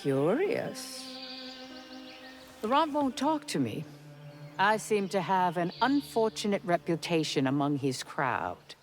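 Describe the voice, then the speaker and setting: An actress playing a genteel, menacing older Southern matriarch in a video game, with measured, coy, condescending politeness and an American Southern accent. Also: female voice, fairly low pitch, very animated. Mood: measured, sly. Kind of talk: video game cutscene acting. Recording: music under the voice.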